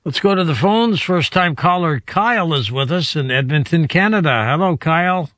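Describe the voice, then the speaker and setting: A man's voice: fairly high pitch, lively intonation, normal speed, a bit bright. A man hosting a talk radio call-in show, introducing and questioning callers. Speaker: radio host.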